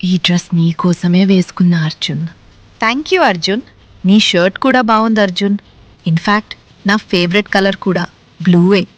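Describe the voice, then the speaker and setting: A woman's voice: mid-range voice, moderate pace, no room sound, lo-fi sound, natural voice. Woman acting a rich, love-struck young lady. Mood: shyly smitten. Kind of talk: cartoon story dialogue.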